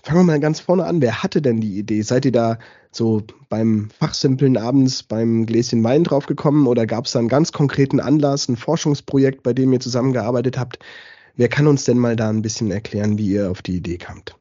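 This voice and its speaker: Ein Mann, der als Gastgeber eines Podcasts locker und plaudernd durch ein Gespräch führt. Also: male voice, mid-range voice, a little fast, mellow, dry recording, dull audio. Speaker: podcast host. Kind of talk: casual talk moderation.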